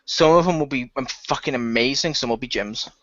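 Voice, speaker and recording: A man's voice: medium pitch, a little fast, a bit bright, no echo. A man in a casual group chat, joking and swearing freely. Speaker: cast member. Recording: dull lo-fi audio.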